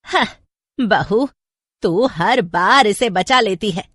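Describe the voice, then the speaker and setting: A woman voicing a sarcastic, scolding old mother-in-law in a horror story, grumbling in sharp rhetorical questions. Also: medium pitch, animated, slow, dry recording, clean studio sound. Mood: grudging, sarcastic. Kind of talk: Hindi horror storybook narration with voices.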